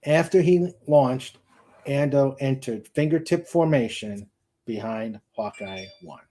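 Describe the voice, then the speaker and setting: Mellow-voiced man reading fiction aloud. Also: mid-range voice, slow pace. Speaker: host reading a novel aloud. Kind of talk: dramatic audiobook-style narration.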